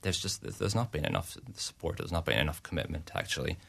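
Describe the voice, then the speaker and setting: A young Irish man with an extremely deep voice that has a gravelly fry. He answers questions in a halting way, restarting his sentences and using lots of fillers. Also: a bit bright. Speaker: student union representative. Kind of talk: radio interview answers.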